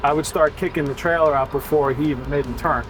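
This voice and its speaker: A man's voice: fairly high pitch, fairly even intonation, fast talker, soft background music, low-quality recording. A man working as a firefighter, explaining his job in a casual, practical, plain-spoken way. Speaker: firefighter and truck driver. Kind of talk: casual explanatory interview talk. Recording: clear vehicle and street noise.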